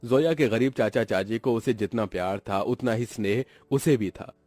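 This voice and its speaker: A man with a fairly low voice delivers scripted cartoon story narration. The sound is dry, with little room echo. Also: normal speed, darkish tone.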